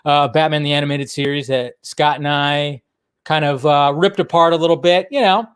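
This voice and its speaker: Casual male livestream host, slightly high voice. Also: fairly even intonation, normal speed, clear, resonant tone, no room sound, clean studio sound. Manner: repeats phrases, talking to viewers. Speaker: host of a live pop-culture talk stream. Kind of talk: casual rambling livestream chat.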